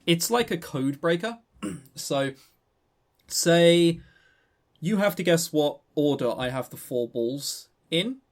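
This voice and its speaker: A man's voice: a little high, expressive, takes it slowly. A man co-hosting a podcast, chatting casually and unscripted about games in a joking, teasing way. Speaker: podcast co-host.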